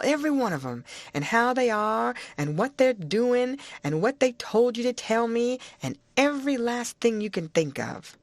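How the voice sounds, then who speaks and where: A woman voicing an excitable older farm wife who gushes in a breathless rush. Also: on the high side, no room sound, pitched above the performer's own voice. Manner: gushing, breathless chatter.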